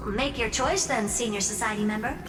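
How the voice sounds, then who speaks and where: An actress plays a haughty game character who deals in threats and ultimatums. Her voice is fairly high and commanding, set back in echoing reverb with music. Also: fairly quick, lightly acted. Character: imperious, threatening female judge coolly issuing ultimatums. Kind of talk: theatrical video game dialogue. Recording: echoey, distant reverb with music.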